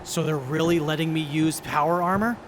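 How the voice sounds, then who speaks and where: A man's voice: fairly high pitch, brisk, natural voice. A man playing a polite, eager tinkerer in an audio drama, courteous and enthusiastic. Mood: eager, impressed. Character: polite, eager young tinkerer who loves tech. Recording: noisy background mix.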